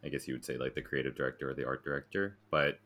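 A man's voice: very deep voice, rapid-fire, a bit bright. An American man telling stories in a casual, informal and self-deprecating way, as a guest in a conversation. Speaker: interview guest, graphic designer.

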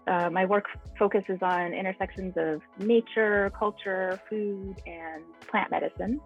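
A woman's voice: medium pitch, steady tone, unhurried, soft background music. A woman being interviewed as a guest, speaking thoughtfully and searching for her words. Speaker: naturalist and author, interview guest. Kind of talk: reflective interview answers. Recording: tinny phone-like line.

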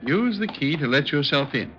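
An actor playing a commanding hypnotist doctor in a 1950s radio drama, speaking deliberately. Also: male voice, fairly high pitch, animated, close to an everyday voice. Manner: deliberate, instructing, authoritative. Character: commanding male doctor who hypnotises a patient. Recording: muffled, noisy old radio recording.

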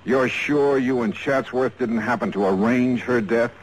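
An actor playing a tough insurance investigator in 1950s radio drama style, with a clipped, wry American delivery. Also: male voice, mid-range voice, measured pace, a little hiss or hum, lightly acted, poor audio. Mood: accusing, hard. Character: tough, hard-boiled male insurance investigator narrating his case. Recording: 1950s radio recording with music.

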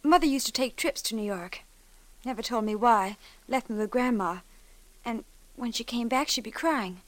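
A woman's voice: fairly high pitch, moderate pace, dry recording, close to an everyday voice. An actress playing an earnest, devoted young woman in a 1950s radio drama, imploring and breathless. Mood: anxious hope. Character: earnest, devoted young woman searching for her lost father.

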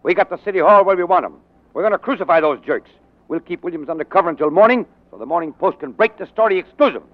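Bullying old-time radio editor, high voice, barks orders, muffled vintage sound. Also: male voice, expressive, quick pace. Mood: scheming, bossy. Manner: barking, domineering, commanding. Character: ruthless, bullying, fast-scheming newspaper editor, adult man. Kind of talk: 1940s radio drama acting. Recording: muffled 1940s radio recording.

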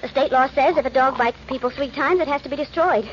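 Actress as fast-talking, higher-voiced radio sleuth's girlfriend. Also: natural voice. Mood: anxious. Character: spirited, worried girlfriend of a sleuth. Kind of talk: 1940s radio acting. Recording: muffled, noisy old radio recording.

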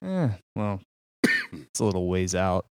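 Deadpan ironic man. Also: sits low, a little slow, clean tone. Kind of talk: casual sports chat.

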